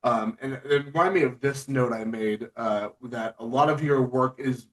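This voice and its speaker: A man of average pitch interviews someone in a loose, chatty podcast style. He sounds some way off from the microphone. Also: normal speed. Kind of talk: casual interview chat. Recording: distant from the microphone.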